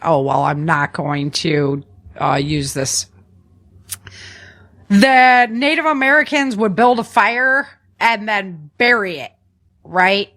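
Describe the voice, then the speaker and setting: A woman's voice: fairly low pitch, a bit bright. A woman hosting a podcast, talking informally and instructively through survival tips from notes and often checking in with a "right?". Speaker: podcast host. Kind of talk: conversational survival advice from notes.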